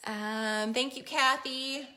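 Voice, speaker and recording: A woman teaching a live paint-along lesson from her studio easel, chatty and encouraging, a little hoarse as she is losing her voice. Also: high voice, fairly even intonation, takes it slowly, bright, forceful tone, rough recording. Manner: chatty, encouraging, reads viewer comments aloud. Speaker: painting instructor. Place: home art studio at an easel.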